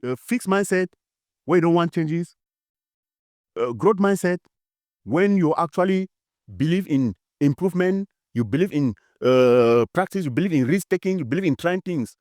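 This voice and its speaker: A man's voice: a little high, animated, normal speed, darkish tone, no echo, clean studio sound. A man giving an unscripted motivational talk, emphatic and repetitive, with frequent fillers. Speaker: motivational speaker.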